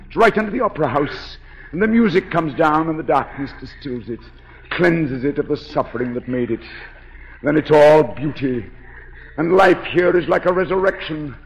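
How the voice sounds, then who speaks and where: An actor in a 1940s radio drama playing a mad, disfigured masked composer, theatrical and brooding, obsessively tender. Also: male voice, on the high side, highs cut off, slight background noise, close to an everyday voice. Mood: brooding, eerily tender. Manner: theatrical, slow, brooding speech that swells into frenzy. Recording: echoey 1940s radio broadcast with music.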